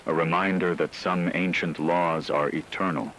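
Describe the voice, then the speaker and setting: A man's voice: low-pitched, steady tone, moderate pace, fairly bright tone, slight background noise, low-quality recording. A man playing a solemn radio newscaster, narrating formally and ominously in a scripted radio drama. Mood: grave, ominous. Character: solemn male radio newscaster reporting supernatural terror. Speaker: radio news host.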